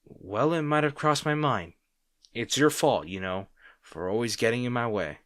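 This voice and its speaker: A man's voice: mid-range voice, a bit bright, no echo, studio quality, natural voice. A man playing a wry, insecure, secretly fond supervillain rival in a roleplay audio drama, talking to the listener in a hesitant, conversational, sardonic way. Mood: defensive, insecure.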